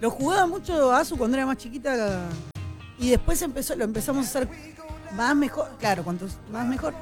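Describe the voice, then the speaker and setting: A man's voice: extremely high pitch, lively intonation, a little fast, over music. A man with a Rioplatense Spanish accent on a radio panel, chatting and checking in with the others as he talks. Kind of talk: casual studio chat.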